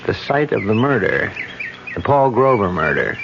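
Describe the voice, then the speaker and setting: This is a self-assured investigator husband in an old radio mystery who lays out his clues with affection. His voice sits low. A muffled recording with steady hiss carries it. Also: normal speed, creaky. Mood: confident. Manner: confident, explanatory and affectionate. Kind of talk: 1970s radio drama acting.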